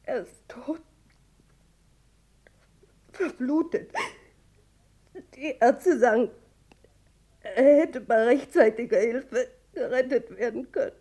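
A woman's voice: fairly high pitch, slow pace, pauses often, mellow. An actress playing a distraught, grief-stricken wife and mother in a 1960s German radio crime drama. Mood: shocked grief.

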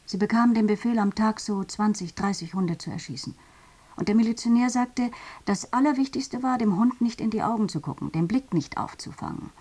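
A woman playing a sober witness in a radio drama, recounting in a plain, subdued way with a husky voice. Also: mid-range voice, brisk, warm tone, lightly acted. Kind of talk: testimony monologue in a radio drama.

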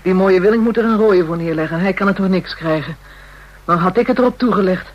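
An actress playing a brash, jaded, street-hardened prostitute in a Dutch radio play, coarse, scornful and frank. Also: female voice, on the low side, fast talker, lo-fi sound, natural voice. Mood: scornful, amused. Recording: radio drama with background noise.

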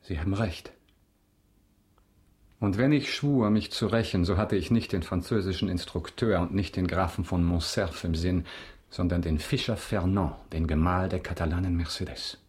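Male actor as avenging nobleman, low voice, stern, bitter, formal delivery, radio play. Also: darkish tone, close to an everyday voice. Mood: accusing. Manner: formal, grave, measured. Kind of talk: German radio drama acting.

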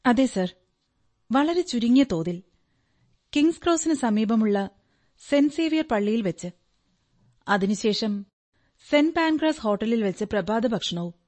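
A high-voiced, earnest young bride-to-be. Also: female voice, expressive, unhurried, long pauses, a little mellow. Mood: earnest recounting. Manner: earnest, polite, detailed recounting. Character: earnest, devoted, trusting female client, young bride-to-be. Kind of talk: radio drama acting. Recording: radio drama with background music. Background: music.

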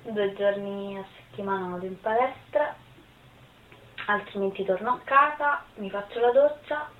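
A woman's voice: mid-range voice, moderate pace, slight background noise. A woman in her early twenties giving short, informal interview answers. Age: 20s. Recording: thin, echoey phone-like sound.

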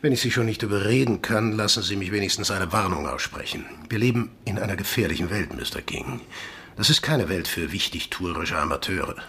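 A man playing the menacing chief of a secretive agency in a radio play, with a husky, breathy voice and a measured, politely condescending manner. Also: deep voice, lively intonation, bright, forceful tone, full character voice.

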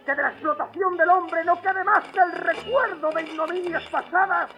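An actor playing a solemn, defiant Spanish Republican orator giving a declamatory 1930s radio speech. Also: male voice, extremely high pitch, moderate pace, crowd noise. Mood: solemn, pleading. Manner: declamatory, rhetorical. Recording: band-limited old radio sound with music.

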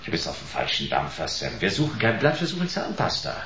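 An actor playing a hard-boiled private detective in a radio play, wry and unruffled, with a laconic, sardonic delivery. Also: male voice, sits low, wildly swooping pitch, a little fast, fairly bright tone, slight background noise, exaggerated voice. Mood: dry, terse. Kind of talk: radio play dialogue and noir first-person narration. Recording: radio play with music underneath.

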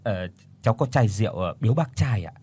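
A man voicing a timid, humble young man who stammers softly. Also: expressive, quick pace, slightly rough. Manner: hesitant, stammering, soft and low.

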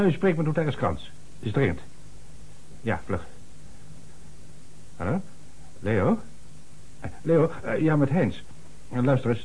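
An actor playing an agitated, self-important small-town notary on the telephone in a Dutch radio play, flustered and stammering. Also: male voice, mid-range voice, a little mellow, husky, poor audio. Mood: urgent, then indignant.

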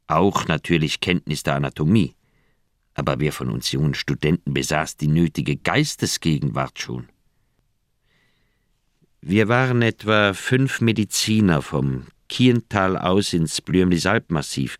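An actor playing an older, thoughtful doctor, recounting memories in a measured way in a radio play. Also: male voice, extremely low pitch, dry recording, clean studio sound. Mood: reminiscing. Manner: measured, deliberate storytelling. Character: older male Swiss doctor, thoughtful, candid old friend. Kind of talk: radio play dialogue, reminiscing narration.

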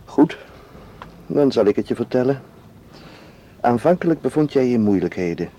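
An actor in a Dutch radio play, playing a devoted, calmly persuasive man who keeps secrets. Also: mid-range voice, moderate pace, slight background noise, close to an everyday voice. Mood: earnest. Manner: calm, gently insistent.

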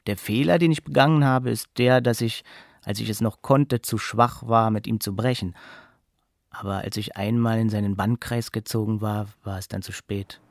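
Guy acting a regretful young student in a radio drama, slightly low voice, confessional, dry studio. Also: fairly even intonation, moderate pace, dry recording, lightly acted. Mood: regretful, resigned. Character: young male student, submissive, regretful, writing farewell letter. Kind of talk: radio play farewell letter.

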